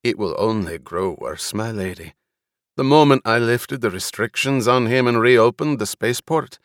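A man voicing a gruff, loyal retainer in an audiobook, speaking formally and deferentially with urgency and worry. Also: medium pitch, a bit bright, no room sound, clean studio sound, lightly acted.

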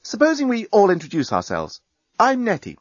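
A man's voice: sits high, huge pitch swings, a little fast, dry recording, muffled recording, pitched above the performer's own voice, full character voice. A man voicing a friendly, cool-headed young woman, polite and composed, in a comic audiobook. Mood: bright greeting.

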